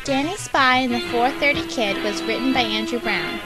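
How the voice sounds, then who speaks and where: Higher-voiced woman reading credits over music. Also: moderate pace, rough recording. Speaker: credits announcer. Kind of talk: scripted closing credits read.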